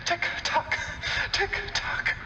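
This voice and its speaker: An actor playing an unhinged, obsessive animator in a game audio log, theatrical and creaky-voiced. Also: male voice, drawn-out words, pushed and bright, exaggerated voice. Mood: giddy, unhinged. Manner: theatrical, sudden giggles. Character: manic eccentric animator, obsessed with his art, unhinged. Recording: distant, echoey, slightly noisy recording.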